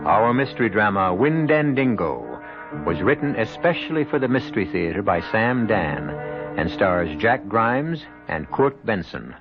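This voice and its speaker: A man hosting and narrating a radio mystery drama in a theatrical, deliberate, suspenseful manner. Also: fairly low pitch, sing-song. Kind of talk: theatrical scripted narration, old-time radio. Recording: muffled vintage radio recording with music.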